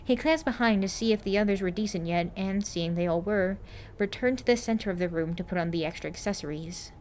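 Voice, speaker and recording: A woman's voice: medium pitch, fairly quick, a little mellow, a little hiss or hum. A woman reading a story aloud as scripted narration, like an amateur audiobook. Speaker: narrator of a fan fiction podfic.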